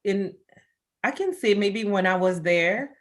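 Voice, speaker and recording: A woman's voice: medium pitch, animated, a little slow. Middle-aged woman guest, born in Congo and raised in Belgium, chatty with many fillers. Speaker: interview guest. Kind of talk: casual interview answers.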